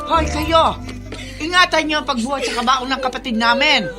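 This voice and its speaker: A woman's voice: high voice, moderate pace, over loud music, close to an everyday voice. A woman playing a callous, meddling middle-aged aunt as a villain in a radio drama. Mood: scolding.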